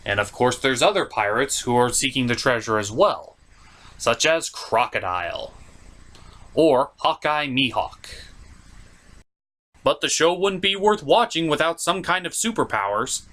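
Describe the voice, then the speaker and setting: A man's voice: mid-range voice, lively intonation, measured pace, fairly bright tone. A man delivering scripted review commentary with joking asides and an emphatic, mock-exasperated manner. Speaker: reviewer hosting an anime review show.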